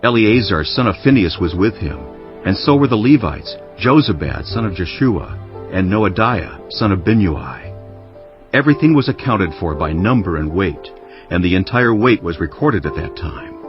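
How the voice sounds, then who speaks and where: Deep solemn guy narrating the bible, measured delivery, music behind, slightly muffled. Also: very animated. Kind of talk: dramatized scripture narration. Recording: dull audio under music. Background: prominent background music.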